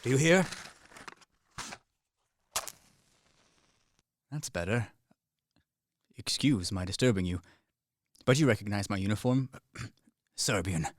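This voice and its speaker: A man acting in a radio play as a desperate fugitive soldier, brisk, commanding and sardonic. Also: on the low side, lively intonation, dry recording, clean studio sound. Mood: tense, threatening. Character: fugitive Serbian soldier, cynical, desperate, coolly threatening. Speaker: actor in a student radio play.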